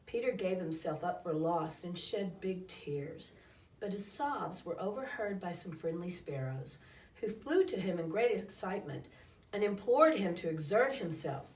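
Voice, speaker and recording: A woman reading a children's story aloud in an expressive, dramatic storytelling style. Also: fairly low pitch, a little slow. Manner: dramatic, acts out calls and sound effects. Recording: echoey room, distant microphone.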